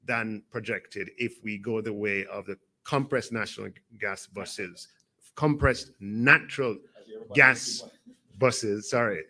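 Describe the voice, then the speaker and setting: A man's voice: lowish voice, pushed and bright. A Jamaican man, a government minister, giving a press conference update and correcting himself as he explains.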